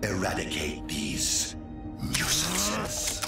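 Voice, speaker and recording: Cold alien overmind for a game, super low n slow, bossy, echoey. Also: male voice, wildly swooping pitch, vocal fry, exaggerated voice. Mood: imperious, menacing. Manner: pompous, slow, commanding. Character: cold imperious alien supreme computer intelligence ruling an empire. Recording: echoing, distant, under loud music.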